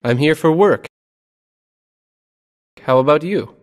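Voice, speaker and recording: Man reading dialogue, lively intonation. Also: fairly high pitch, slow, big-room sound. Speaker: dialogue reader. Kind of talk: scripted textbook dialogue reading.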